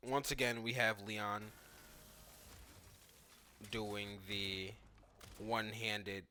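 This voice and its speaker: A man's voice: fairly low pitch, steady tone, drawn-out words, sharp tone, a little hiss or hum. A man giving casual, analytical commentary on video game footage.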